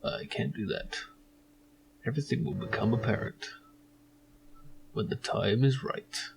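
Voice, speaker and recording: A man voicing a cryptic, serene stranger in an adventure game, speaking in a slow, hushed, enigmatic way. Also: lowish voice, creaky, noisy background.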